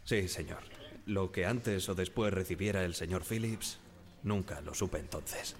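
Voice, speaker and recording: An actor playing a deferential ship's wireless operator giving measured, careful testimony in a radio drama. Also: male voice, low-pitched, music in the background.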